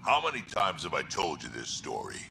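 An actor playing a gruff older alien grandfather with a deep gravelly growl, game audio with faint ambience. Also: wildly swooping pitch, normal speed, over music, full character voice. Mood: gruff fondness.

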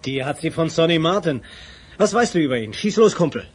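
An actor playing a casual, charming and direct crime-fighting hero in a 1980s radio play. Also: male voice, fairly high pitch, expressive, fairly quick, noisy background, lightly acted. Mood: businesslike.

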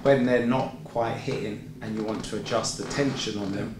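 A man's voice: on the low side, fairly even intonation, moderate pace. A man, interviewing a barber and adding matter-of-fact technical explanations. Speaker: interviewer, shop owner. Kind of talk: casual interview chat. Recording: echoey off-mic room with background noise.

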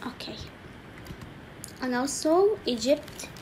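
A woman teaching an English lesson slowly, repeating each word and saying "okay" often, with keyboard typing faintly behind her. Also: high-pitched, animated, darkish tone, slight background noise, low-quality recording. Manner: slow, repetitive, saying each word twice with frequent "okay". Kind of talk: slow screen-recorded language lesson.

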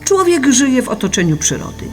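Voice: high-pitched, big pitch swings, a little fast. A narrator reading a scripted philosophical audiobook in an explanatory, didactic manner. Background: music.

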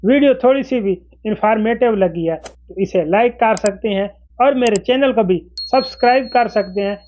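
Male tutorial presenter, forties, high voice, instructional step-by-step delivery, slightly dull lo-fi recording. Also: normal speed, a little mellow. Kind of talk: explanatory phone tutorial to camera. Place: small indoor room with desk.

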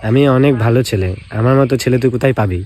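Fast-talking young Bengali man, needling. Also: medium pitch, very noisy. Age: young adult. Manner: provocative, dismissive, needling. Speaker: prank video creator. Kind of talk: casual phone prank, teasing argument with asides to viewers. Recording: music behind the voice. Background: music.

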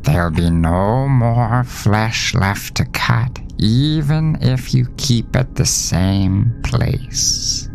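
A man acts out a small, maggot-faced demon from a horror audio story. The speech crawls along, hushed and drawn out, with an eerie tenderness that soothes and unsettles. Music plays clearly behind it. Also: lowish voice, pitched above the performer's own voice, full character voice. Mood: eerie, coaxing. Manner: slow, drawn-out, hushed delivery.

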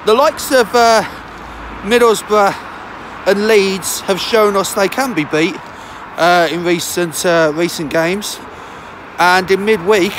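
Croaky high-voiced vlogger man. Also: fairly bright tone, slight background noise. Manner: chatty, rambling with many fillers. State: hoarse, croaky from a sore throat. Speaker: football fan vlogger. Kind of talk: casual match-day vlog to camera. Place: outdoors on a city street on a cold day. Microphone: phone held at arm's length.

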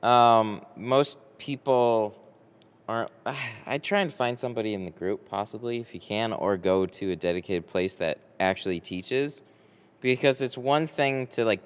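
An American man in his early thirties chatting casually on a livestream, halting and easygoing as he thinks aloud. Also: lowish voice, clean tone. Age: early 30s. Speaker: livestream host, window tinter. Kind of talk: casual livestream chat, answering viewers. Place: large garage workshop with a boat. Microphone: close microphone on boom arm. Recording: long hall-like reverb.

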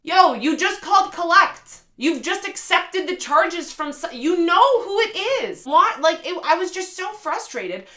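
A woman in her thirties giving a candid, conversational book review as a channel host, with an animated delivery. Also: very high-pitched, a little slow, few pauses. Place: room lined with bookshelves, indoors. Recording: roomy echo, faint music behind.

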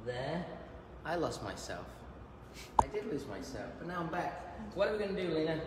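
A man in his fifties giving a live haircut lesson in a big salon, chatty and joking as he works, his voice a little croaky. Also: fairly high pitch, sing-song, moderate pace. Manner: chatty, joking, teaching as he cuts. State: slightly croaky voice. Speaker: hairdresser and educator. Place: large bright hair salon, indoors. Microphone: phone camera held nearby. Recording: echoey salon room with noise.

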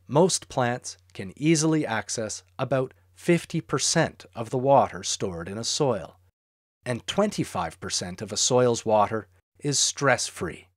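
A man narrates a lesson on soil and irrigation in a steady, explanatory way. His voice sits at a middle pitch. The recording is dry and close, with no room sound. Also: a little slow, no room sound. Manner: clear, steady explanatory delivery. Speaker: narrator of an instructional farm video. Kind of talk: scripted educational narration.

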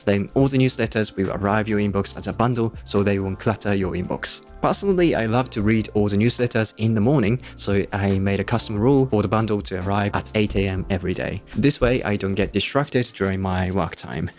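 A man's voice: on the low side, a little fast, hardly any pauses, a little mellow. A man in his thirties with a Japanese accent, presenting a scripted review to camera into a close microphone. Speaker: YouTube tech reviewer. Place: small room indoors. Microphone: close podcast-style microphone on a boom. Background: background music.

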